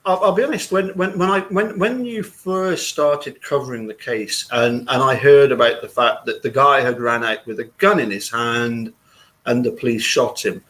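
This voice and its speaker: A man's voice: medium pitch, moderate pace, fairly bright tone. A man hosting a live chat, rambling casually with many repeated words and frequent chuckles. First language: English.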